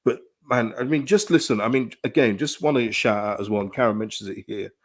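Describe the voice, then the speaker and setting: A man, hoarse from a dry throat, giving emphatic live-stream commentary on his football club, full of restarts and repetition. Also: mid-range voice, a little fast. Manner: animated, emphatic, repeats phrases, frequent restarts. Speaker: football fan channel host. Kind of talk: live-stream fan commentary, reading chat.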